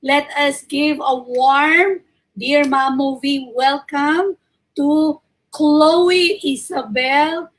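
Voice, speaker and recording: Slow, high-voiced Filipino woman host. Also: steady tone, a bit bright, rough recording. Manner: courteous, deliberate, inviting. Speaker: talk show host. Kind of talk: hosting an online interview, mixing Tagalog and English.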